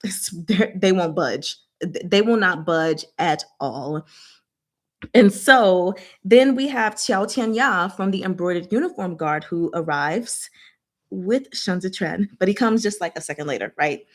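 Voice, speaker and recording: A woman's voice: fairly low pitch, unhurried. Chatty, playful woman discussing a book. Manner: chatty, playful, giggly, acts out paraphrased dialogue in slang. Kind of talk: casual book discussion, recapping scenes.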